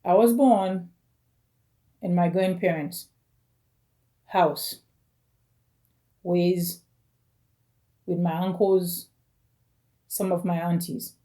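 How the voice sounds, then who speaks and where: Lady in her 40s, halting personal vlog, lowish warm voice. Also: fairly even intonation. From Caribbean. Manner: slow, halting, phrase by phrase. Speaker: vlogger. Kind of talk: personal video message.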